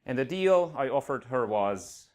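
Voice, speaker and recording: A man's voice: medium pitch, big pitch swings, unhurried, a little mellow. Hungarian-accented man giving a conference talk. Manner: earnest, with frequent uh fillers. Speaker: conference speaker. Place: conference venue stage.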